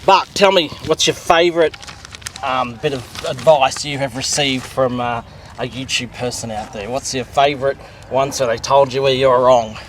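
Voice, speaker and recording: A male host, chatting in a playful, easygoing way and chiming in with quick agreement. His voice sits at an average pitch, with a faint hiss of noise behind it. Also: steady tone. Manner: playful, laughing, many yeahs. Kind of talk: casual interview chat.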